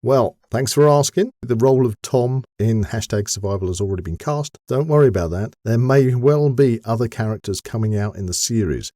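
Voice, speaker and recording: A man's voice: medium pitch, brisk, hardly any pauses, mellow, no echo, studio quality. A man hosting a podcast, giving listeners an update in an upbeat, encouraging, chatty way. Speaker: podcast host.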